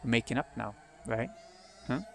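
A man's voice: mid-range voice, normal speed, creaky, a little hiss or hum, natural voice. Brief: a man reading a belligerent, threatening uncle with a menacing edge. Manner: sneering, turns falsely sweet. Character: bullying abusive uncle, gruff and menacing.

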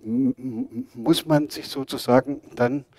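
Older man, blunt lecturer, dry close sound, plain mid pitch. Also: a little slow, gravelly, darkish tone, no room sound. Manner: blunt, emphatic, frank. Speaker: speaker answering audience questions. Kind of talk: outdoor lecture Q&A. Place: outdoors in a garden before a seated audience.

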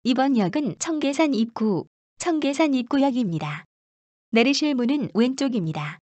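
A woman reading scripted subway announcements as a train announcer, formally and with measured enunciation. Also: high voice, expressive, darkish tone, no echo, dull audio.

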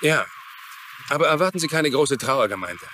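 An earnest working man in a dubbed mystery. His voice is bright and rather high for a man, over a noisy ambience. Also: expressive, moderate pace. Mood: resentful. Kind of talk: German-dubbed TV mystery drama acting.

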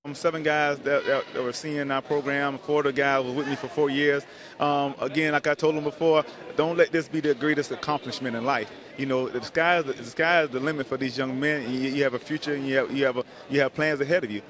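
A man, a high school coach, giving an earnest interview answer and repeating himself as he goes. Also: steady tone, fast talker. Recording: crowd noise behind. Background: crowd.

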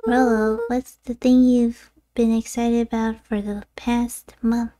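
A woman's voice: on the high side, little pitch movement, slow. A woman playing a game, chatting casually and reading dialogue aloud. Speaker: game playthrough player. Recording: loud game music behind the voice.

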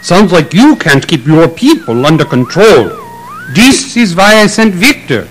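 A man plays a stern militant tsar in an audio drama, curt and imperious. His voice is fairly high and moves animatedly in pitch, over faint music. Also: sing-song, measured pace. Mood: displeased. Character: stern male tsar, a militant would-be world conqueror.

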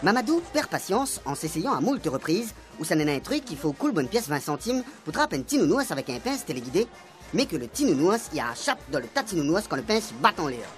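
Réunion lady narrating a radio serial, lowish voice, fast and animated. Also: faint music behind.

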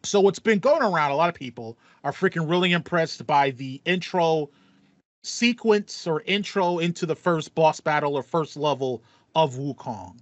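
A man's voice: on the high side, expressive, normal speed, no room sound, lo-fi sound. A man in his thirties co-hosting a casual gaming podcast, often repeating words as he thinks aloud. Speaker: podcast co-host. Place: small room with acoustic foam.

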